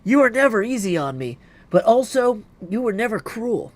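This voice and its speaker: A man's voice: sits high, sing-song, moderate pace, darkish tone, pitched above the performer's own voice. A man voicing an earnest, dutiful young princess witch from a video game, speaking formally and from the heart. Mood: apologetic, tender. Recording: clear background noise.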